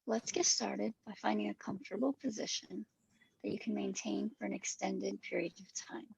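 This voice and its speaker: A woman narrating a guided meditation with soothing, measured instructions. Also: mid-range voice, fairly even intonation, vocal fry, a little mellow, husky, no room sound, rough recording.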